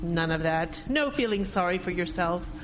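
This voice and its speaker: A woman's voice: fairly low pitch, animated, moderate pace, slight background noise, natural voice. A woman acting in a radio drama as a prim, wealthy, pious spinster with a formal, imperious and sanctimonious manner. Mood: lonely, self-scolding.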